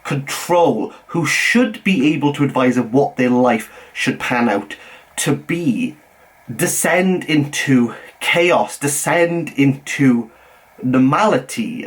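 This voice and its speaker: A young adult man with a Welsh accent, reviewing a novel in a measured, earnest way, dropping his voice low on titles. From Wales. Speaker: book reviewer. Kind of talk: scripted book review.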